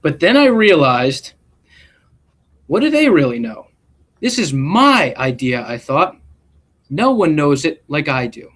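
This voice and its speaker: Male read-aloud storyteller, principal type, higher-pitched voice with lively, expressive swings. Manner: expressive, deliberate storytelling delivery. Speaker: school principal reading to students. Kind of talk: live picture-book read-aloud with asides.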